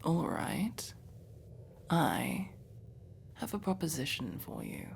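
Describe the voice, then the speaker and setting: A woman playing a guarded but tender elf mercenary in intimate ASMR roleplay, slow and halting, with a creaky voice that often drops to a whisper. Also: sits low, animated, heavily acted. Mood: wry, scheming.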